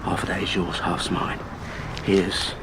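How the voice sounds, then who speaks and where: A man's voice: low-pitched, flat delivery, unhurried, background noise. An actor playing an anxious, scheming bank clerk and family man in a period audio drama, speaking formally and self-importantly. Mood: furtive, persuasive. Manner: formal, old-fashioned, self-important phrasing.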